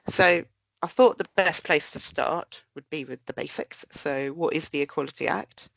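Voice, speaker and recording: A woman's voice: low-pitched, normal speed, gravelly, dry recording, rough recording. A woman with a nasal voice, bunged up with a cold, giving a prepared webinar presentation. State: blocked with a cold. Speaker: research director presenting.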